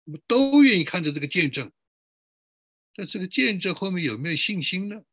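A man preaches as a pastor, his voice fairly high and bright with a husky edge. He is emphatic, stacking short repeated phrases and questions as he teaches. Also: big pitch swings, a little fast, no echo, studio quality. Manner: emphatic, repetitive listing. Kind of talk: sermon, teaching with rhetorical questions.

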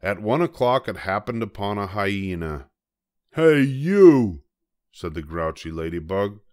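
A man's voice: low-pitched, steady tone, moderate pace. An older man narrating a children's storybook from the text, as a read-aloud. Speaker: storybook reader.

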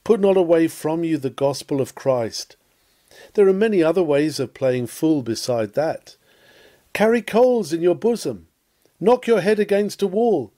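Slow, earnest man reading a sermon. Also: fairly high pitch, animated, dark tone. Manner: earnest, exhorting, solemn. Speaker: sermon reader. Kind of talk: dramatic reading of a Victorian sermon.

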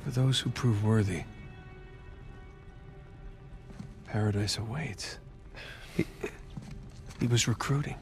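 An actor playing a quick-witted, wary treasure hunter in a cinematic video game, with a casual manner. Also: male voice, on the low side, lightly acted. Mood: realizing. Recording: music bed behind dialogue.

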